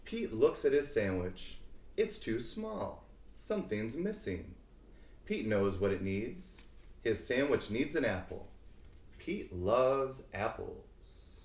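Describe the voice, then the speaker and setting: A man reads a picture book aloud for young children in a roomy, echoing space. His delivery is unhurried and deliberate, with a highly animated pitch that leans into key words for dramatic emphasis. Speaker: read-aloud host.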